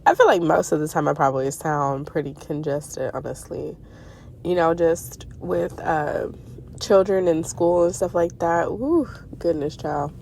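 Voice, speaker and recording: A woman's voice: low-pitched, a little slow, vocal fry, darkish tone, a little hiss or hum. A middle-aged woman with a congested voice, chatting in a rambling way with lots of fillers about her dreams, talking from a parked car. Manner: chatty, meandering, many fillers and self-corrections. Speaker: spiritual vlogger sharing dreams. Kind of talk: casual rambling monologue.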